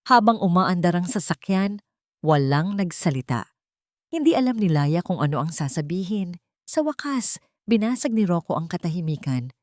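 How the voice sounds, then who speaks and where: A woman narrating a scripted dramatic story with deliberate phrasing, as an audiobook narrator. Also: on the low side, sing-song, darkish tone, no room sound. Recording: music bed behind a dry voice. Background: background music.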